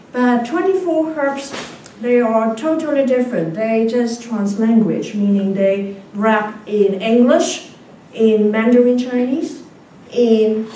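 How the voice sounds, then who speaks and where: A woman's voice: fairly high pitch, dark tone. A middle-aged woman whose first language is Cantonese, giving a chatty academic lecture and often checking in with her audience. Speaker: guest lecturer. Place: large lecture hall. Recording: very echoey hall from far off, faint noise.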